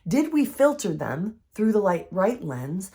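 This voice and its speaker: A woman with a froggy, hoarse voice from recent illness, giving an earnest, conversational faith-based health teaching. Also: mid-range voice, big pitch swings, a little slow, mellow. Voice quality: froggy, strained voice.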